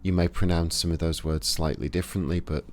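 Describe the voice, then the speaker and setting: A man with a Yorkshire accent, giving a casual, unhurried spoken lesson to camera with pauses and fillers. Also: low voice, steady tone, a little mellow, slight background noise. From Yorkshire, England. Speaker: esoteric practitioner and channel host. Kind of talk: casual instructional talk to camera.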